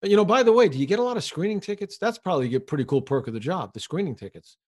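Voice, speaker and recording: A man hosting a podcast, eagerly firing quick questions back and forth with his guest. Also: sits high, lively intonation, a little mellow. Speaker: podcast host. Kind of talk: podcast conversation.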